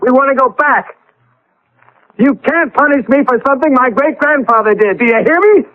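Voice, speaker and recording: A desperate, frightened husband in a vintage radio play. His voice sits high, and he stammers and insists in agitation. The sound is thin and narrow, like an old broadcast. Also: moderate pace. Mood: angry, desperate. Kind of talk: old-time radio drama acting. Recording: band-limited vintage radio recording.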